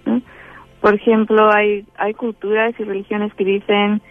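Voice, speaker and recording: A woman's voice: mid-range voice, level tone, a little slow, no room sound, soft background music. A Chilean woman with a Chilean Spanish accent, a guest in a spiritual interview, who talks hesitantly with many fillers and restarts. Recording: tinny phone-line sound.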